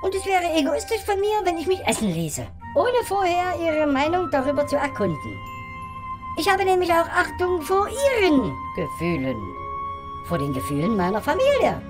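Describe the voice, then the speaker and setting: A woman's voice: extremely high pitch, huge pitch swings, moderate pace, music in the background, heavily acted. A woman voicing an earnest, over-polite billy goat in a fairy-tale parody radio play, with a bleating, wordy delivery. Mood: polite, evasive.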